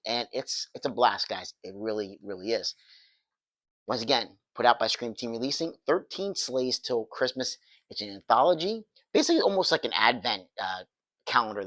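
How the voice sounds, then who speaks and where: Hoarse guy rambling excitedly about movies, dry close sound. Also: medium pitch, no room sound. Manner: enthusiastic, rambling with restarts. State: losing his voice, recovering from illness. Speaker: movie reviewer. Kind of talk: casual chat to camera.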